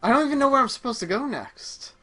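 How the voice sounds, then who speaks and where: A man's voice: extremely high pitch, expressive, brisk, pushed and bright, faint music behind. A man hosting a gaming show, playing and chatting casually, hesitant as he thinks aloud. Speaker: host playing a video game. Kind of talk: casual gaming commentary chat.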